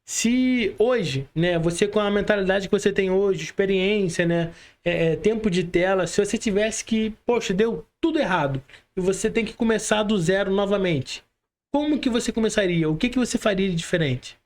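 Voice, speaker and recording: A man's voice: high-pitched, normal speed. A Brazilian man hosting a casual podcast, speaking colloquially to the audience as friends and often adding little tag questions. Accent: Brazilian Portuguese. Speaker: podcast host.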